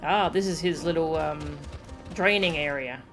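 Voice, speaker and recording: A woman with a fairly low voice comments casually on the game she is streaming. She muses to herself in an understated way, with music clearly audible behind her. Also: a little slow. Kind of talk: casual live gameplay commentary, reading text aloud.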